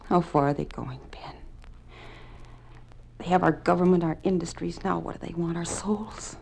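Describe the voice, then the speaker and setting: A woman's voice: on the low side, moderate pace, creaky, darkish tone. An actress playing an anguished, indignant mother in a 1950s radio drama, emotional and pleading. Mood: bitter, grieving. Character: anguished, indignant mother defending her young son. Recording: noisy vintage radio broadcast recording.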